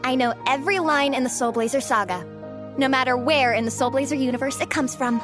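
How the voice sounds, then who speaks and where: Smug, nerdy young fan girl played by an actress, bouncy high pitch, game dialogue, soft music. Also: fairly quick, close to an everyday voice. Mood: smug, excited. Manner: pedantic, proud. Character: proud, know-it-all young hardcore fan girl. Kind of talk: video game voice acting.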